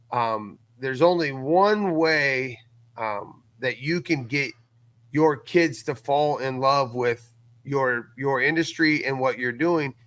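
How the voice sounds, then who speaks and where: A middle-aged man in casual podcast conversation, telling things in an earnest, folksy, animated way. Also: mid-range voice. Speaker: podcast guest and audio series creator.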